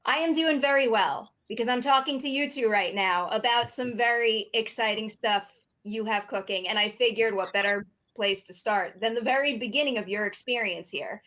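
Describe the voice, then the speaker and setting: A woman with a slightly high voice moderates a panel. She puts questions to her guests in an upbeat interviewing style. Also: normal speed, a bit bright.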